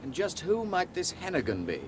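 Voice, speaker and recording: An actor playing a brisk, keen police sergeant asking questions in a radio drama. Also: male voice, high voice, huge pitch swings, slight background noise. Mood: brisk, curious. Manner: keen, inquisitive. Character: eager, brisk male police sergeant on the hunt.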